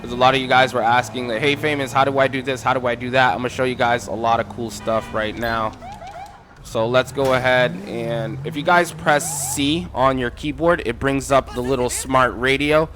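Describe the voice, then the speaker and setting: A man's voice: medium pitch, steady tone, moderate pace, fairly bright tone, a little hiss or hum. A man casually walking viewers through game mods in an informal, instructive way, addressing them as guys. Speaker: gaming tutorial creator.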